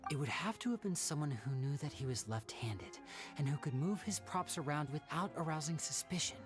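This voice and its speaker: A woman voicing a calm, clever young magician detective in a game, laying out a deduction. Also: sits low, music in the background. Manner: measured, deducing. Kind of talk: game voice acting.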